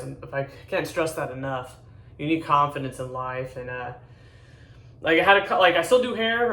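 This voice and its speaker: A deep-voiced woman in her forties chatting casually to her viewers, rambling, blunt and candid. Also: distant mic. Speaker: vlogger. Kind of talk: casual chat to camera. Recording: echoey room, voice a bit off-mic.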